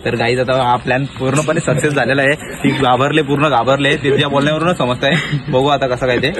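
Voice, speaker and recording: A man's voice: mid-range voice, steady tone, fairly quick, noisy background. A young man with a Maharashtrian accent talking casually as he hosts a prank-call vlog. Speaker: vlogger making prank calls.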